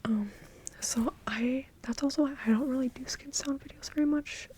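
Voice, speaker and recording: A woman's voice: sits high, normal speed, darkish tone, slight background noise. A young woman whispering close to the mic in a casual ASMR chat, repeating words over and over as trigger sounds. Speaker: ASMR creator. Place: her apartment. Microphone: close sensitive mic.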